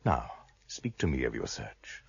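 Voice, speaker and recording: An actor playing a shrewd, courtly blind trader in a radio drama, husky and gravelly, speaking in a measured, formal, wry way. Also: male voice, a bass voice, very animated, dry recording, lo-fi sound, exaggerated voice. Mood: wry, proud.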